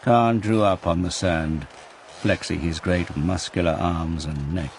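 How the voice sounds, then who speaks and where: A man giving a grave, intense, deliberate narration of scripted fiction for an audio drama. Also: low voice, gravelly, slight background noise. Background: music.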